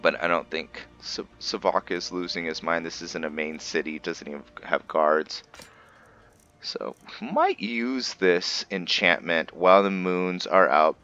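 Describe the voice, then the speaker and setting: A man's voice: low voice, sharp tone, lo-fi sound. A man giving casual let's play commentary, musing and explaining as he goes. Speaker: host of a gaming roleplay series.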